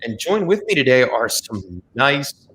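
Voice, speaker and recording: Male podcast host, bright forward tone, joking easily with guests. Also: medium pitch, big pitch swings, measured pace, a little hiss or hum, low-quality recording. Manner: jokey, upbeat introductions. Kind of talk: casual podcast chat.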